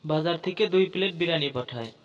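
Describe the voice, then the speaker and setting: Male narrator for cartoon storytelling, fairly high pitch, scripted read. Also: fast talker, highs cut off.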